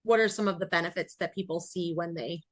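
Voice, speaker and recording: A woman's voice: on the low side, fast, no echo. An American woman hosting a podcast in a casual, chatty way, bubbly and joking at her own expense. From New York, United States. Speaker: podcast host.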